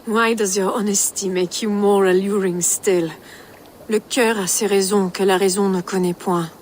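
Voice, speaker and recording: An actress plays a passionate Frankish noblewoman with a French accent, poetic and yearning for a new life. She speaks in game cutscene style at a middle pitch, with faint ambient noise behind her. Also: steady tone, moderate pace, a little mellow, lightly acted. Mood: yearning, seductive. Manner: romantic, poetic. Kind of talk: video game cutscene acting.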